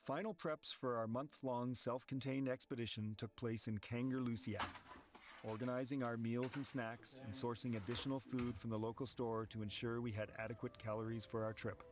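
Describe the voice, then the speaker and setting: A man reading measured, steady scripted narration for an expedition documentary. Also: mid-range voice, background noise. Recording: voice-over with background music.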